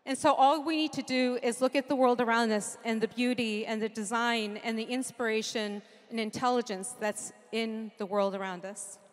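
A woman's voice: fairly high pitch, fairly even intonation, moderate pace, few pauses. A middle-aged woman from Japan giving a conference keynote into a handheld mic, earnest and lightly humorous, listing ideas in rhythmic runs. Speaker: keynote speaker. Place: large conference stage with audience. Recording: long hall reverb from a big venue.

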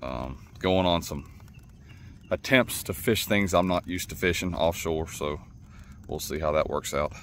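A man's voice: deep voice, unhurried. A man in his thirties giving casual, laconic fishing vlog commentary from a kayak out on a lake. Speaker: kayak angler and vlogger. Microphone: action camera mounted behind him on the kayak. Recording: loud outdoor water and boat noise.

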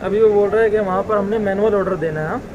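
A man's voice: sits high, fast, narrow, tinny audio. A young man chatting casually to viewers while making a shake in his kitchen and waiting at a drive-through. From Pakistan. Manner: easygoing, chatty, addresses viewers as brother. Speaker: vlogger. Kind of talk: casual vlog chat. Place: home kitchen, then a car in a drive-through. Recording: noisy handheld phone audio.

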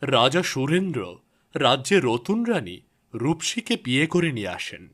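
A man's voice: medium pitch, very animated, moderate pace, breathy edge. A man narrating a scripted fairy tale for a cartoon, with music behind him. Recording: loud music bed behind voice.

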